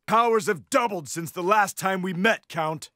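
An actor playing a cocky young Jedi warrior in a video game, defiant and declamatory. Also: male voice, high-pitched, normal speed, pushed and bright, dry recording, studio quality.

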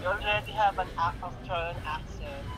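A woman's voice: on the low side, a little fast, over music. A woman with a Filipino accent vlogging in a supermarket, teasing someone in a playful, casual way. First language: Tagalog. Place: large supermarket aisle.

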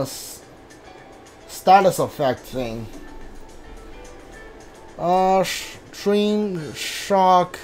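A man doing casual video game playthrough commentary, reading on-screen text aloud and mumbling to himself as he thinks. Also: high-pitched. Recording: game music behind the voice. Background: video game music.

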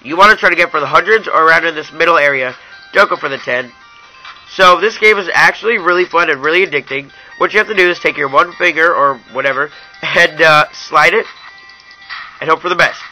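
Bright-voiced young man doing app review. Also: fairly high pitch, poor audio. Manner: informal, addresses viewers as you guys. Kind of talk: casual hands-on app review narration.

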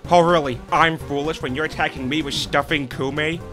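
A man's voice: a little high, fairly even intonation, moderate pace, a bit bright, faint music behind, natural voice. A man voicing a cocky, foul-mouthed wisecracker in a comedic game dub, with a casual mocking manner. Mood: taunting.

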